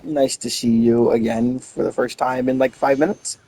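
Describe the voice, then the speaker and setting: A man's voice: mid-range voice, fairly even intonation, normal speed, mellow, rough recording. A man giving casual playthrough commentary as a game streamer, muttering offhand asides. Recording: game music behind the voice.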